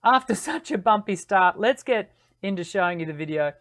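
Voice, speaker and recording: A woman's voice: mid-range voice, expressive, normal speed, a bit bright. A woman, a singing teacher chatting casually to her live-stream audience and often restarting her phrases.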